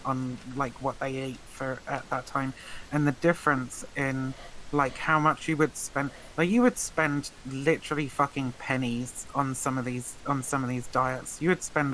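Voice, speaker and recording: A man's voice: medium pitch, pushed and bright, low-quality recording. A man chatting casually in a rambling, sweary, stop-start anecdotal way. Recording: faint hiss on the mic.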